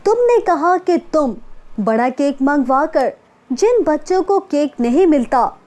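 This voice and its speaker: A woman doing a gentle, kind fairy. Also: high voice, sing-song, a little slow. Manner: soothing, reassuring. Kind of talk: storybook character voice.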